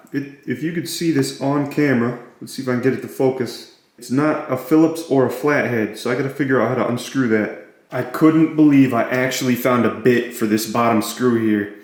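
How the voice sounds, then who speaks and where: A man giving a casual hands-on gadget walkthrough in a plain, matter-of-fact way, listing features as he goes. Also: mid-range voice. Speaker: product reviewer. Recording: very echoey, roomy sound.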